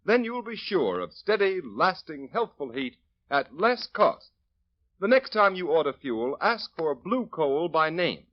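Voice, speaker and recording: Old-time male radio announcer, lively pitch, dull lo-fi broadcast sound with faint music. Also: unhurried. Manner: smooth salesman-like.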